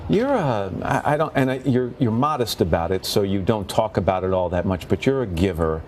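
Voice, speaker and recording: A man's voice: on the low side, lively intonation, fairly quick, slight background noise. A middle-aged man hosting a TV interview, earnest, with frequent small restarts. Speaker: TV host.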